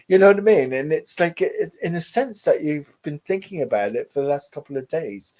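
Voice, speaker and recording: A man working as a medium, giving a live online reading in a gentle, rambling, reassuring way with frequent fillers and soft laughs. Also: a little high.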